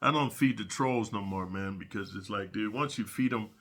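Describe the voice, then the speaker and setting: A man's voice: on the low side, moderate pace, a bit bright. A middle-aged man telling prison stories in a rambling, casual monologue, sniffling from allergies and dropping "man" and "dude" all the time. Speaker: prison storyteller.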